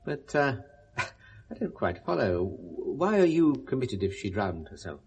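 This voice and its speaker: Genteel British confidant, swooping husky voice. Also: male voice, mid-range voice, big pitch swings, measured pace, full character voice. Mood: surprised, puzzled. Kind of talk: 1970s British radio drama acting.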